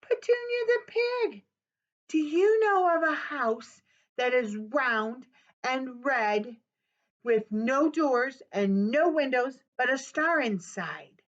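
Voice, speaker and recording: A woman voicing a small, bored boy who pleads and asks questions in a high, whiny voice. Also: expressive, slow. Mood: pleading. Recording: dull webcam audio.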